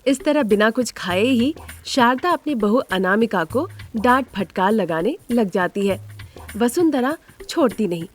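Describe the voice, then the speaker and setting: A woman's voice: a little high, expressive, measured pace, faint music behind. A woman storyteller reading a scripted family moral tale as narrator.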